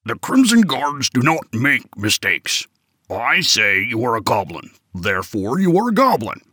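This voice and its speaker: A man doing a booming, pompous prison guard. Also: huge pitch swings, measured pace, bright, forceful tone, dry recording, clean studio sound, exaggerated voice. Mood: stern. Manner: pompous, bellowing, rigid logic. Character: big stubborn guard in red armor, booming.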